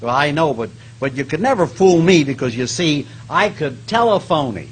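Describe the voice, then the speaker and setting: A man acts out a sheepish, stingy quiz contestant in old radio comedy style. The voice is a touch high and very changeable in pitch, moving between hesitant deadpan and whiny protest. The live stage recording is noisy. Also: normal speed, lightly acted. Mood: plaintive. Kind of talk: comic stage recreation of 1950s radio.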